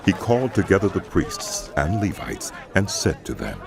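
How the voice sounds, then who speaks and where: A man narrating a dramatized audio Bible in a solemn, measured storytelling style. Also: deep voice, big pitch swings, vocal fry, crowd noise. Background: background music.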